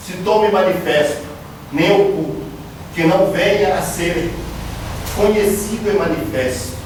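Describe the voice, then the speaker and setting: High-voiced man lecturing in an echoey hall. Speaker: speaker giving a public spiritist lecture. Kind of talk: religious lecture to a live audience. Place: lecture room at a spiritist house. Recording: very echoey hall, distant mic, background noise.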